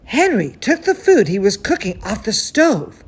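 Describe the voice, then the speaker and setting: A woman's voice: a little high, very animated, unhurried, slightly rough. A woman hosting a children's bedtime story, reading aloud with affectionate, chatty asides and exclamations for young listeners. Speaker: children's bedtime story host and cooking teacher.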